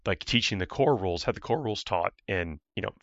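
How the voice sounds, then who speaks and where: A man hosts a casual podcast in a deep, hoarse voice with a forceful, bright edge. He rambles with many restarts and fillers. The sound is dry and slightly dull. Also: lively intonation, fast talker, dry recording. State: hoarse, ragged voice. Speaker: podcast host.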